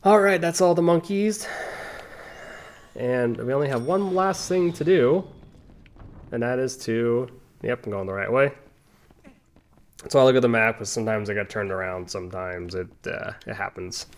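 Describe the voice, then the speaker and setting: A young man casually commenting on his game playthrough as host, rambling through chatty side stories with lots of ums and restarts while calmly guiding the viewer. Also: medium pitch, normal speed, long pauses. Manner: relaxed, laid-back guiding of the viewer. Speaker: gaming channel host. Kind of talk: casual let's-play commentary.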